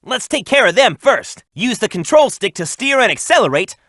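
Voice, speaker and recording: Game hero role: cocky young cartoon hedgehog, high and lively, confident delivery. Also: male voice, no echo, clean studio sound, exaggerated voice. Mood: alert, confident. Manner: brisk, confident, upbeat. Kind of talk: video game character voice acting, tutorial hints and quips.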